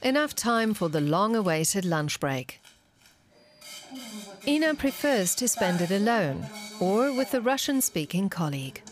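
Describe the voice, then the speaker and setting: A woman's voice: medium pitch, very animated, a little slow. Woman narrating a documentary over music. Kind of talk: scripted narration.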